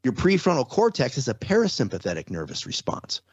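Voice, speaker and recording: A man's voice: mid-range voice, wildly swooping pitch, fairly quick, husky, dry recording, lo-fi sound. A man talking casually as an interview guest, explaining things with repeated, restarted phrases and frequent rhetorical check-ins. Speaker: podcast guest, trauma survivor and former security contractor.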